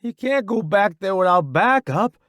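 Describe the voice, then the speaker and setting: A man's voice: high-pitched, animated, normal speed, a bit bright, no room sound, clean studio sound, voice pushed up. A man voicing a wounded young soldier in an audiobook, strained and blunt, talking through pain. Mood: worried. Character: wounded young male soldier, pained, cursing, loyal joker.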